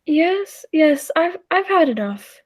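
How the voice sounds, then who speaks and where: A woman playing a gentle, contented time traveler who tells the tale in a measured, wistful way, in 1940s radio play style. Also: extremely high pitch, expressive, heavily acted. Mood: concerned. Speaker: amateur drama club actor. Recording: roomy echo with faint music.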